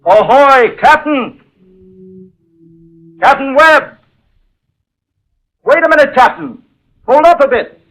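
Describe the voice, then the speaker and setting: A man's voice: extremely high pitch, lively intonation, slow pace, long pauses, a bit bright, heavily acted, low-quality recording. A man playing a skeptical, commanding and protective leading man in a 1940s radio horror drama, with emphatic theatrical delivery. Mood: urgent calling.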